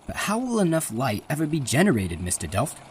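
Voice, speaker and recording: A man's voice: medium pitch, wildly swooping pitch, fairly quick, a little mellow, slight background noise. An actor playing an eager, deferential orphan boy at a newspaper, polite and wide-eyed, in an old-time audio drama. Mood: eager, impressed.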